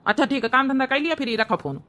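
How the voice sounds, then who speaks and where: A busy cartoon farmer husband, voiced by a woman, rattles along quickly in a bright voice. The delivery is practical and hurried, with a rustic Awadhi accent. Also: high-pitched, animated, voice pushed down. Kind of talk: comedy cartoon character acting.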